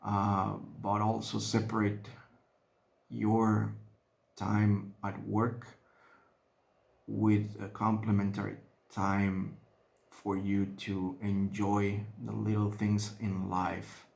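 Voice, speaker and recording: Slow, gravelly Spanish-accented man musing. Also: fairly low pitch, monotone, a little mellow. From Mexico. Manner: halting, thinking aloud with many restarts and fillers. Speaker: hobby vlogger. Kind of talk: casual talk while painting miniatures. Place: indoor hobby desk.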